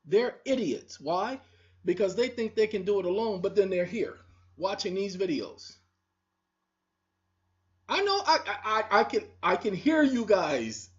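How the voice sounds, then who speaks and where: A woman's voice: mid-range voice, big pitch swings, measured pace. Scolding woman preaching at viewers, lo-fi. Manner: preachy, scolding, repetitive, talks straight to the audience. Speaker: online video host. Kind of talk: confrontational monologue to viewers. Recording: dull lo-fi recording.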